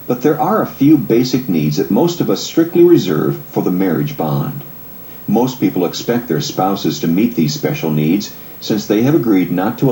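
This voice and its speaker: A man with a deep, expressive voice narrates a self-help audiobook. His delivery is measured and articulate, explaining like a counselor, with a mellow tone. The sound is noticeably roomy. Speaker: marriage counselor and author narrating. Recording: roomy echo, faint background noise.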